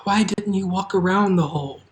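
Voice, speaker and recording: A woman's voice: medium pitch, brisk, lightly acted. A woman playing a kind, practical young farm girl in a live fantasy table read, earnest and reassuring. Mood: concerned.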